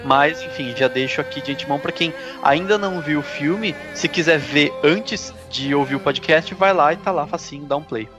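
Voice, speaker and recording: A man co-hosting a podcast, talking in a relaxed, explanatory way with friendly advice. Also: fairly high pitch, normal speed, hardly any pauses, music in the background. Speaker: podcast co-host. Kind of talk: casual chat.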